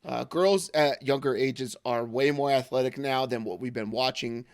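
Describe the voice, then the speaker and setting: A man in his thirties with an American accent, co-hosting a casual sports podcast, hoarse from losing his voice, rambling with lots of fillers and jokes. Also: medium pitch, normal speed, no room sound, studio quality. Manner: rambling, filler-heavy, joking. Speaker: podcast co-host.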